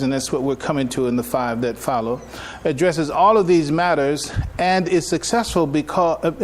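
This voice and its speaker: A man giving a religious lecture as an imam, conversational and halting, repeating phrases and checking in with his listeners. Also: fairly high pitch. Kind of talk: religious lecture, explaining to an audience.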